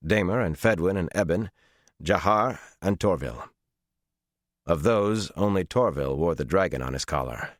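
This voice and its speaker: A man with a low voice reads a fantasy story as an audiobook narrator. His delivery is measured and dramatic, and the recording is dry and close. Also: lively intonation, no room sound.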